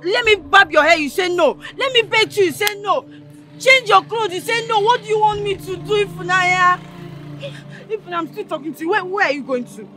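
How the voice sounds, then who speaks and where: A woman's voice: very high-pitched, normal speed, sharp tone, soft background music. A woman playing an alarmed village woman who exclaims and pleads. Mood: scolding.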